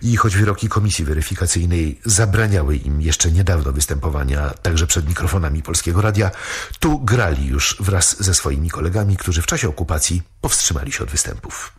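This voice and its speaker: Deep, husky male narrator. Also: animated. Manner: measured, informative. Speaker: radio history presenter. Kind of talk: scripted narration.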